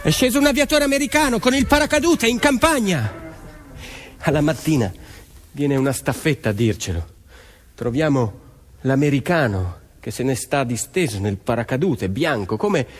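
A man's voice: a little high, big pitch swings, moderate pace. A man playing a 1940s Italian partisan peasant in a theatrical monologue, speaking in short, dramatic, emphatic phrases. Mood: earnest. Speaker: stage actor and storyteller. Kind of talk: theatrical narrative monologue with music. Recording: long hall reverb, music underneath.

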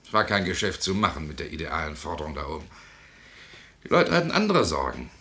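A man's voice: a bass voice, huge pitch swings, fast, fairly bright tone, exaggerated voice. A man playing a cynical, mocking doctor in a German radio play, with a sardonic, blunt way of talking. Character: cynical, mocking male doctor, worldly realist.

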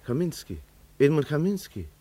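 An actor playing an anxious, hesitant man under police questioning, husky-voiced, in a radio drama. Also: on the high side, sing-song, normal speed, dark tone, dry recording. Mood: uneasy. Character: uneasy male visitor questioned by police.

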